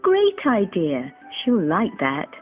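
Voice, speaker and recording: A woman voicing a gentle, tender mother in a children's storybook cartoon. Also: fairly low pitch, huge pitch swings, takes it slowly, phone-quality sound, faint music behind, full character voice. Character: gentle mother and frail old grandmother.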